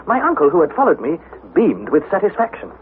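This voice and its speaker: A man's voice: a little high, wildly swooping pitch, fairly quick. An actor playing an earnest young adventurer, narrating his perilous journey in a formal, dramatic vintage radio style. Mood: measured, wondering. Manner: formal, precise, dramatic storytelling. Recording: tinny, noisy 1960s radio recording.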